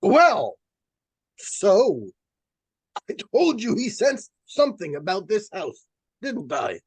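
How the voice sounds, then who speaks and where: A man performs a solemn ghost hunter from a vintage radio thriller. He is formal and portentous, with a high, widely swinging voice. Each phrase comes out slowly and deliberately, with theatrical gravity. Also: huge pitch swings, breathy edge, no echo, full character voice. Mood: urgent, self-assured. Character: earnest, portentous male psychic researcher and ghost hunter. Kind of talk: live old-time radio suspense acting.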